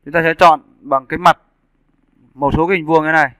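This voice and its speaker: Guy doing a casual software tutorial, bright crisp voice, step by step, dry sound. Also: a little high, steady tone, brisk, no room sound, rough recording. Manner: explains step by step, often checking with listeners. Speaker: 3D animation instructor. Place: indoors, screen-recording voice-over.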